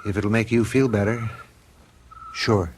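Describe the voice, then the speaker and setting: An actor playing a baffled, troubled husband who tries to stay reasonable, talking earnestly and haltingly in a radio drama. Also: male voice, on the low side, fairly even intonation, over loud music, natural voice. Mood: troubled, resigned. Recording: noisy vintage radio drama tape.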